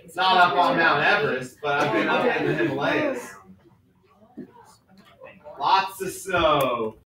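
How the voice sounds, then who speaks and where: A man's voice: a little high, very animated, a little slow, a loud crowd. A man teaching a school class in an informal, instructive way, explaining and asking questions. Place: school classroom, distant mic, noise behind. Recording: noisy echoey classroom, far from mic.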